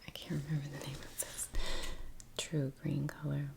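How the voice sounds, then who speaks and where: A woman speaking in a soft, breathy near-whisper, murmuring an unhurried ASMR commentary as she paints. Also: low-pitched, monotone, background noise. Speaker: ASMR creator narrating her painting. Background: soft brush and click sounds.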